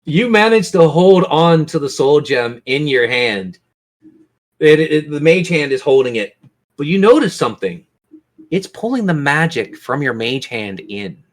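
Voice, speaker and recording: A man acting as game master, narrating and refereeing a tabletop game in a suspenseful, drawn-out way. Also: fairly high pitch, sing-song.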